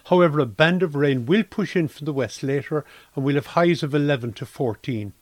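A man's voice: on the high side, a little fast, no echo. A man with an Irish accent reading a scripted weather forecast for community radio.

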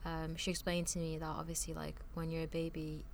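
A chatty young woman speaking in a low, fairly level voice. She talks casually and leans on "like" as she tells stories. A faint hiss of noise sits under the recording. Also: monotone, a little fast, vocal fry. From France. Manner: chatty, lots of "like" fillers. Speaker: podcast guest. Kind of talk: casual conversational storytelling.

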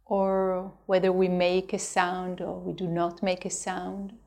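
A woman teaching a guided meditation, speaking gently and unhurriedly with long pauses between phrases. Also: on the low side, monotone.

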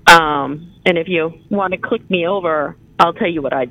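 A woman's voice: on the low side, normal speed, pushed and bright, no room sound, low-quality recording. A middle-aged woman calling in to a talk show, chatting casually. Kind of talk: casual conversation.

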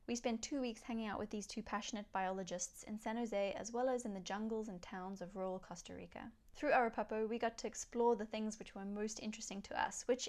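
Woman hosting a podcast intro. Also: mid-range voice. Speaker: podcast host. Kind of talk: scripted intro narration.

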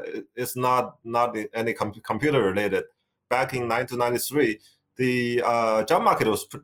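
Chinese-accented man, halting and filler-heavy. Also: mid-range voice, a bit bright. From China. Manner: hesitant, many fillers and restarts. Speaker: guest, tech company executive. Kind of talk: conversational interview.

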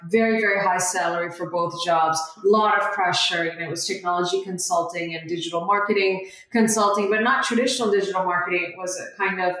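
A woman's voice: lowish voice, steady tone, quick pace, hardly any pauses, a bit bright. A young woman from India, a guest in a casual interview, talking earnestly with frequent "you know" fillers. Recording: very echoey room, far from mic.

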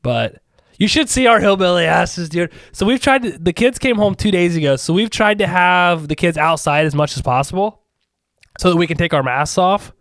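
A young man from Ohio co-hosting a podcast, telling a story in casual chat, animated and profane, a bit nasal and recovering from a sore throat. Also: sits high, moderate pace, no room sound, studio quality. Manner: profane, animated storyteller, calls everyone dude. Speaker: podcast co-host.

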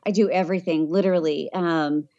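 A middle-aged woman speaks conversationally as an interview guest. Her voice sits on the low side, and the recording is slightly dull and lo-fi. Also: fairly even intonation, brisk, warm tone. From Tennessee, USA.

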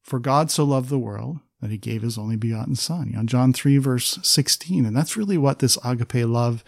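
A man's voice: medium pitch, normal speed, creaky, no room sound, studio quality. A man co-hosting a conversational Bible study podcast, talking with enthusiasm and reading scripture aloud. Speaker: podcast co-host.

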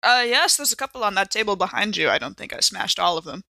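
A woman with a bright, forward voice plays in a tabletop horror game. She improvises casually with wry, playful delivery, recorded close and dry. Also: medium pitch, sing-song, fast, creaky, no echo. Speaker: player in actual-play podcast. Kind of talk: casual in-character improvised roleplay.

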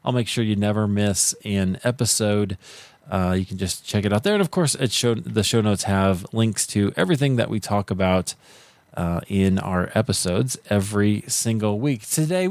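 A man from the United States hosting a podcast, talking in a relaxed, chatty way with many fillers. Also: mid-range voice, dry recording. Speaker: podcast host. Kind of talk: casual interview chat.

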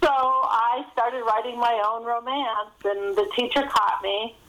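A woman's voice: fairly high pitch, steady tone, measured pace, a bit bright, rough recording. A middle-aged or older woman, an author guest telling stories casually in an interview.

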